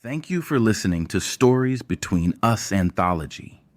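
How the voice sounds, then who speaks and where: A man narrating a scripted audio story in a measured, literary storytelling manner. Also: lowish voice, animated.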